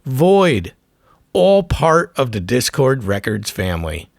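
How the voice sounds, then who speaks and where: A man's voice: medium pitch, very animated, slow, creaky, over music. A man narrating a documentary from a script in short, emphatic, profane phrases.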